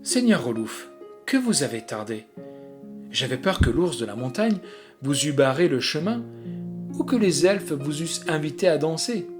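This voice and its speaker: A man's voice: fairly high pitch, very animated, normal speed, over music. A man voicing a proud, reproachful young noblewoman in a storybook tale, speaking in formal courtly phrases. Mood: reproachful, anxious.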